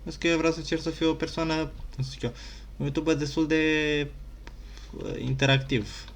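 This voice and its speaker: A man's voice: a little high, level tone, a little fast, slight background noise. A man doing casual, slangy let's-play commentary as a gaming YouTuber. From Romania. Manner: informal, slangy.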